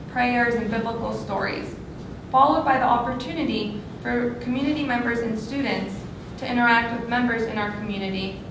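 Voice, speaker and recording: A woman's voice: a little high, vocal fry. Young woman reading an earnest speech. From Los Angeles. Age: young adult. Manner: earnest, reads from a script with small slips. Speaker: student speaker. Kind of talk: prepared speech. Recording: echoey hall, far from the mic, noisy.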